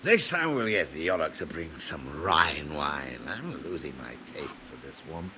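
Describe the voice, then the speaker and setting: A blunt, opinionated older monk in a medieval game. The voice is deep, with hugely theatrical swings in pitch and a grumbling manner. There is clear ambient noise behind it. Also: male voice, big pitch swings, unhurried, full character voice. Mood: tipsy relish. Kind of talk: video game cutscene acting.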